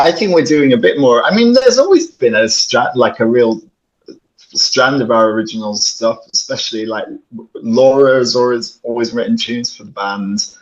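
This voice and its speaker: A man giving casual interview answers as a band's singer. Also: mid-range voice. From Yorkshire, England. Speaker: band singer and songwriter. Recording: dull lo-fi call audio.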